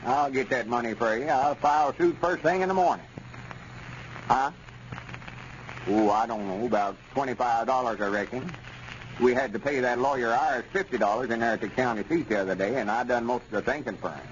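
A self-important backwoods storekeeper acted by a man brags away in a folksy rural Arkansas accent. His voice sits at an average pitch, pompous and pleased with itself. It comes from a 1930s radio comedy recording, muffled, with light scratchy noise. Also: brisk, lightly acted. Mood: eager, self-important. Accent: Southern US, rural Arkansas.

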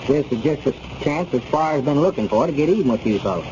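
An actor playing a level-headed, plain-spoken friend in a 1930s radio comedy. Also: male voice, medium pitch, animated, fast talker, close to an everyday voice. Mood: puzzled. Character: Dick Huddleston, level-headed friendly rural man. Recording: muffled 1930s radio recording with clear hiss.

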